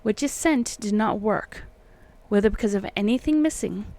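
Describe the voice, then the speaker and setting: A woman voicing an uneasy, secretive old colonial occultist man, reading his letters in solemn, halting archaic phrasing. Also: mid-range voice, dark tone, natural voice. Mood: grave warning.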